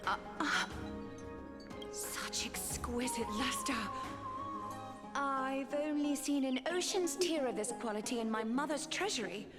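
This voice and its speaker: A woman playing a dignified, refined female demigod in a video game, speaking in a formal, measured way. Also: high voice. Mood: haughty interest. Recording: echoing voice with music behind.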